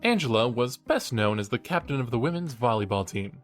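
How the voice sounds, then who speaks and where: An actor playing an eager young college true-crime podcaster in a horror audio drama, a teasing, suspenseful storyteller. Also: male voice, medium pitch, lively intonation, a little fast, soft background music, natural voice. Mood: storytelling. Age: young adult.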